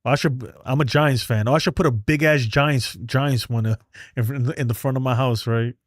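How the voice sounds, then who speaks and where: A man's voice: mid-range voice, fairly even intonation, moderate pace, no room sound, studio quality. Blunt New man hosting a stream. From New York City. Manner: streetwise, blunt, reads chat aloud. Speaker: livestream host. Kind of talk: casual livestream chat. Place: home in Texas.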